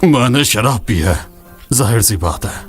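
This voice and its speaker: A man's voice: medium pitch, expressive, measured pace, close to an everyday voice. A man dubbing a world-weary, sardonic older mentor figure in a fantasy game film. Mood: wry, brooding. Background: dramatic background music.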